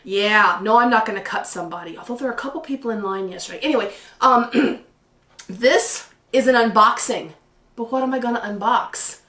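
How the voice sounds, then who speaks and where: A hoarse woman with a slightly high voice talks casually to camera about her photography channel. She is chatty and excitable, clearing her throat now and then. The sound is roomy, as if she is recorded in an echoey living room. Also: moderate pace. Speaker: photography vlogger. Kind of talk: casual vlog talk to camera. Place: living room at home by a patio door.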